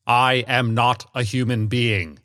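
Seeking a man to play a humorless artificial creature, harsh and droning, slowly proclaiming doom, storybook narration. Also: mid-range voice, no echo, studio quality. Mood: insistent, grave. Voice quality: harsh, monotonous. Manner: slow, portentous declaiming. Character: gray-faced menacing male golem, pompous and humorless.

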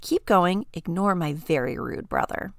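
A woman voicing a small, excitable, curious young boy who blurts eager questions in a storybook reading. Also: lowish voice, measured pace, vocal fry, no echo, clean studio sound.

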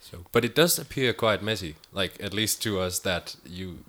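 Man, low voice, native Danish speaker, podcast co-host, casual discussion. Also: lively intonation, normal speed, sharp tone.

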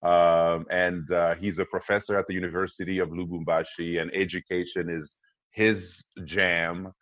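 A man in his forties who grew up in Congo speaks as a podcast guest, his voice sitting very low. He tells stories in an upbeat way, pausing on "uh" and "um" as he goes. The sound is dry and close, with no room around it. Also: level tone, no echo. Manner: warm, upbeat storytelling with frequent "uh" and "um" fillers. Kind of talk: conversational interview.

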